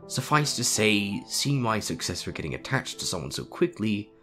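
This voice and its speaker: A man voicing a calm, wise older wizard headmaster in a story reading, measured and reflective. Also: lowish voice, soft background music, voice pushed up. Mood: grave. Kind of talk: dramatic fan fiction audio reading.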